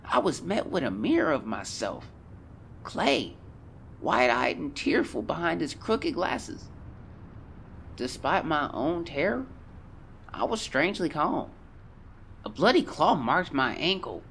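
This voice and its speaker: A man's voice: fairly high pitch, big pitch swings, slow pace, creaky, pauses often, fairly bright tone, a little hiss or hum, full character voice. A man playing a haunted teenage boy explorer, solemnly and theatrically dictating a fearful journal in an audio drama. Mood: tense, haunted. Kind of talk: dramatic first-person journal narration.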